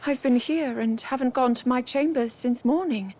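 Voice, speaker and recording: An actress playing a modest young princess in a video game, speaking in an earnest, polite, hesitant way. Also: female voice, high voice, moderate pace, warm tone. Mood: puzzled. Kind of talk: video game cutscene acting.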